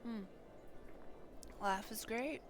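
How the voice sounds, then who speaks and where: A woman's voice: fairly high pitch, extremely slow, over music. A woman live streaming a game, chatting casually and murmuring to herself as she plays. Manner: chatty, murmuring to self while playing.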